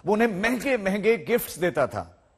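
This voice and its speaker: A man's voice: high-pitched, big pitch swings, a little fast, creaky, slightly rough. A man presenting the news in a dramatic style.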